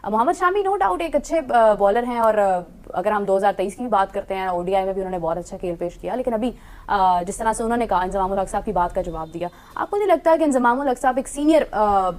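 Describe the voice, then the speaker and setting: A woman's voice: medium pitch, fast talker, darkish tone. A woman presenting a conversational sports discussion, summing up reactions and putting questions.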